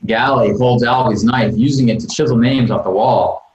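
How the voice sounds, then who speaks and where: A man's voice: mid-range voice, normal speed, a little mellow, lo-fi sound. A man reading screenplay stage directions on a video call, with jokey asides. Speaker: screenplay narrator at a table read. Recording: roomy video-call audio.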